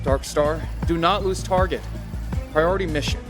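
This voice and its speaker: A man playing a dutiful military radar officer in an audio drama, speaking in crisp radio procedure. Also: a little high, normal speed, lightly acted. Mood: urgent, businesslike. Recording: loud music over the voice.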